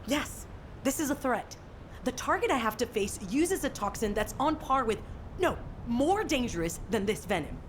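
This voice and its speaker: A woman's voice: medium pitch, moderate pace, slight background noise, lightly acted. A woman acting as a stubborn, self-sacrificing young fighter in a video game, serious and resolute. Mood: defiant.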